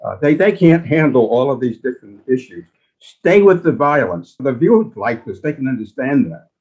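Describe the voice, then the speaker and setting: An older man recalling his experiences as a veteran reporter on a panel, with emphatic, halting phrasing and stressed words. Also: mid-range voice, very animated, darkish tone, slightly rough. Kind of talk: personal recollection in a panel discussion. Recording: dull, lo-fi audio.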